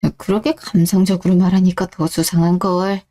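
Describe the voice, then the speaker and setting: A woman's voice: lowish voice, level tone, fairly quick, mellow, natural voice. A woman playing a caring, perceptive older father in a scripted radio drama. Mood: teasing, caring.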